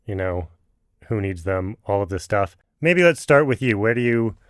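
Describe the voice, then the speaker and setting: A man hosting a podcast interview, talking casually and haltingly, with lots of restarts. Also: lowish voice, lively intonation, no room sound, studio quality. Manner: halting, many fillers and restarts. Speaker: podcast host.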